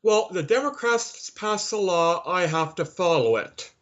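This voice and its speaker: Dull-sounding, higher-pitched man from Canada. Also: lively intonation, a little slow, fairly bright tone. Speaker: interview guest, author. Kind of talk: conversational interview. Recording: slightly dull lo-fi audio.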